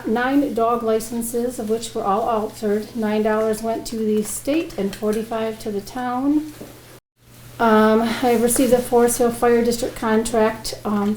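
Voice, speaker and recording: A woman's voice: a little high, a little mellow. A woman giving a formal town clerk's report at a meeting. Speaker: town clerk and tax collector. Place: indoor public meeting room. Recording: echoey meeting room, faint noise.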